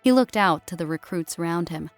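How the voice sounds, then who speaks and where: A woman narrates a scripted story as an audiobook reader. Her voice sits a little low. Quiet music runs behind the narration. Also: animated, brisk.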